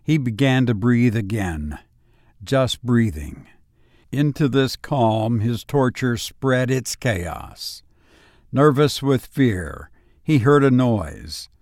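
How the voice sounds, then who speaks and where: A man hosting and narrating horror stories in a dramatic, scripted style, with deliberate, suspenseful pauses. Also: medium pitch, expressive, darkish tone, dry recording, studio quality. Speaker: narrator and host of audio story show.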